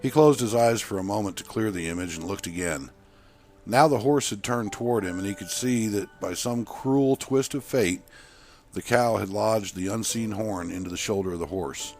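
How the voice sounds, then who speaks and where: A man's voice: fairly low pitch, moderate pace, a little mellow. A man narrating a scripted short story, with music playing behind him. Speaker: author and narrator.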